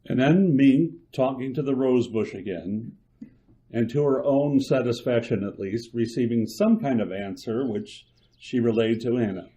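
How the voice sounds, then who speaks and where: A man narrating an old-time radio suspense drama in a theatrical, measured storytelling manner. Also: mid-range voice, mellow, natural voice, poor audio. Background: music.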